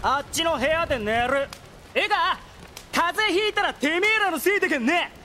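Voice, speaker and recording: A man playing a spoiled, hot-headed teenage noble boy in an anime audio drama, with rough, petulant, slangy speech. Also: extremely high pitch, animated, moderate pace, sharp tone, slight background noise, heavily acted. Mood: sulking.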